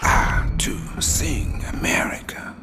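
A man performing as a proud, defiant poet, reciting verse dramatically in a gravelly, breathy voice. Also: takes it slowly, in a whisper, a loud crowd, close to an everyday voice. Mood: brooding, defiant.

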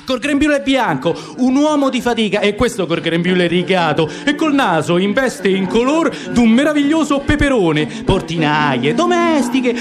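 A man narrating a radio-theatre piece. His delivery is theatrical and lively, and he relishes the dialect. His voice sits high and swings widely in pitch. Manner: theatrical, lively, savoring the words. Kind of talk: dramatic reading of dialect poetry and a novel.

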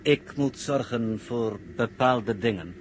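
An actor playing a terse, impassive man in a 1960s Dutch radio drama, answering in clipped, deadpan phrases. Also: mid-range voice, slow pace, close to an everyday voice. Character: stiff, terse, impassive man of few words. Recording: faintly noisy 1960s radio recording.

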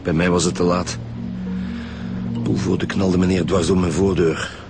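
A grave Flemish police inspector in a radio drama. The voice is very low and gravelly, steady and serious, with music behind it. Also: male voice, measured pace. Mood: grim, weary.

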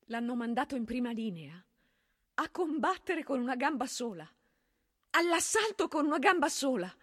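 A proud, sorrowful mother, pleads with emphatic feeling in a stage drama. The voice is fairly high and sharp-edged, recorded very dry. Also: sing-song, normal speed, breathy edge, dry recording, exaggerated voice. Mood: indignant. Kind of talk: dramatic theatrical acting.

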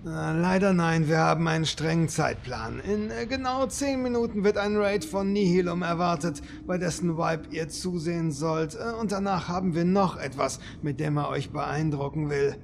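A man's voice: moderate pace, hardly any pauses. A man playing an obliging, bumbling henchman with a servile, hesitant manner in a German comedy audio drama. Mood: servile, matter-of-fact. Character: obliging, bumbling henchman guiding guests through traps. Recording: clearly noisy with low rumble.

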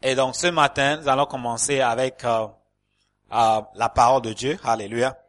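A male pastor preaches in a church hall, with a voice of ordinary middle pitch for a man. He speaks French as a second language and stumbles through fillers and repeated words. Calls of alleluia punctuate nearly every phrase as he rallies his congregation. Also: fairly even intonation, moderate pace, a bit bright. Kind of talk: sermon.

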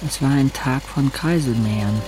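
A man's voice: mid-range voice, normal speed, background noise, close to an everyday voice. An actor playing a bitter, brooding young man who tells of his village youth in an intense, introspective audio drama narration. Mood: brooding, reflective. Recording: music under the voice.

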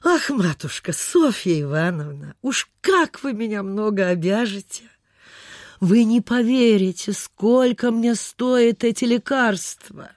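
Slow, fretful provincial landlady. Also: a little high, animated, a little mellow, no room sound, studio quality. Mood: chatty, grateful. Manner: folksy, sighing, gossipy, old-fashioned speech. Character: fretful superstitious provincial landlady, chatty amateur healer. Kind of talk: Soviet radio theatre acting.

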